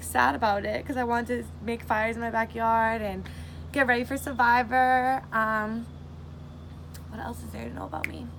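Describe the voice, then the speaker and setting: A woman's voice: on the high side, fairly bright tone, a little hiss or hum. A bubbly 22-year-old woman, chatting eagerly to camera in a casual audition video. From Massachusetts, USA. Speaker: reality show applicant.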